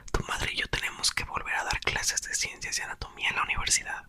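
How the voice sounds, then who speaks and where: An awkward father, a science professor, struggles to break news to his daughter in a storybook, as a man whispers his lines. His speech is hushed and halting, with a bright, airy tone. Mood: reassuring.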